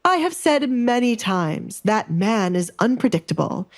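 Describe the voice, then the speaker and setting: A woman's voice: fairly high pitch, very animated, a little slow, pitched above the performer's own voice. A woman playing a flustered, worried middle-aged baron in an audio drama, sounding exasperated.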